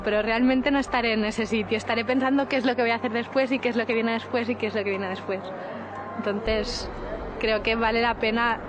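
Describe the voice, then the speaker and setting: A woman talks quickly in a fairly high voice, pausing and repeating small words as she thinks. She stands outdoors in a city, with clear street noise and traffic around her. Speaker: interviewee. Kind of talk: street interview reflection.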